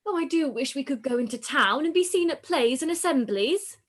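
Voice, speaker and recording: Woman playing a high, giddy teenage girl. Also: animated, fairly quick, slight background noise. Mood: excited. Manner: gushing, boastful. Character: giddy, carefree teenage bride-to-be. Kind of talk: live-read period radio play.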